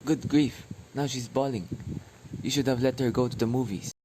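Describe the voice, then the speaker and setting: A man's voice: medium pitch, moderate pace, close to an everyday voice. Noisy recording, sarcastic teenage brother played by a man. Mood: exasperated. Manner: mocking, deadpan sarcasm. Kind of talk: amateur English radio play acting.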